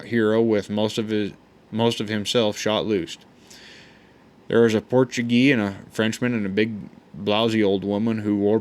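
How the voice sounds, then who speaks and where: A man reads a memoir aloud with a somewhat low voice. He takes his time in a folksy, easygoing manner, often tripping over a word and starting it again. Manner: unhurried, folksy, with frequent stumbles and restarts. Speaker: audiobook reader.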